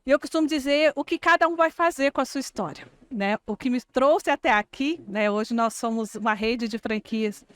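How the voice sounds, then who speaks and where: Woman, 40s, interview guest; expressive storyteller, slightly high voice, dry close sound. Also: normal speed, hardly any pauses, dry recording. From Minas Gerais, Brazil. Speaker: guest, franchise founder. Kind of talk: relaxed interview chat.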